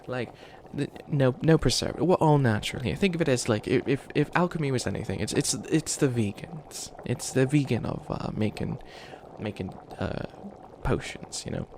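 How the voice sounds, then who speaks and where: Guy doing an old bunny witch for comedy asmr, creaky voice, stammers n rambles, sweet wannabe villain, some noise behind. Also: medium pitch, lively intonation, normal speed, hardly any pauses, lightly acted. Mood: rambling, encouraging. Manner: rambling, stammering, apologetic, trails off. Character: ancient male bunny witch, failed villain, flustered and kindly. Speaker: mentor to a new apprentice. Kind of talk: improvised comedic ASMR roleplay.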